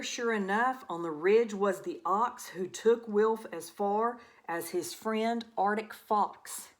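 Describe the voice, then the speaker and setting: A woman narrates a rhyming children's story with expression. Her medium-pitched voice moves very slowly through the verse. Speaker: storybook reader.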